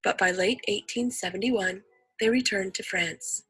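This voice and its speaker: A woman's voice: mid-range voice, unhurried, fairly bright tone, slightly rough, dry recording, faint music behind, low-quality recording. A woman reading a scripted educational narration as the narrator of an instructional video.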